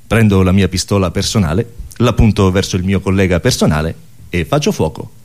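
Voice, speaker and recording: A man's voice: deep voice, lively intonation, a little fast, darkish tone. A man performing absurdist scripted comedy, explaining things in a theatrical, mock-documentary manner. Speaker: comic radio performer.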